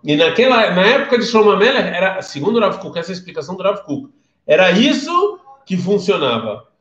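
A man's voice: high voice, sing-song, fairly quick, fairly bright tone, reverberant, muffled recording. A man from Brazil with a Brazilian Portuguese accent, giving a casual live-streamed class and telling stories as he questions his listeners. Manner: chatty storyteller, questions the listeners.

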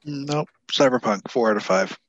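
A man co-hosting a casual podcast, laid-back and quick to laugh. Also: medium pitch, a bit bright, highs cut off. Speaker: podcast co-host.

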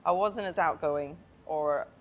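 A woman's voice: low-pitched, a little slow. A woman in her thirties chatting frankly as a guest on a casual interview podcast, often laughing. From Thailand and Hong Kong. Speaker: guest artist. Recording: faint background noise.

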